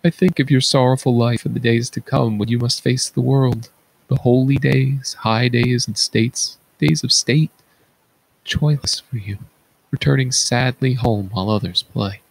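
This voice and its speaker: A man's voice: medium pitch, steady tone, measured pace, creaky, pitched above the performer's own voice, rough recording. A man playing a blinded fallen king, an anguished and tender father, in a theatrical reading of Greek tragedy. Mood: grieving. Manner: theatrical, grief-laden declaiming. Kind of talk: read-aloud Greek tragedy.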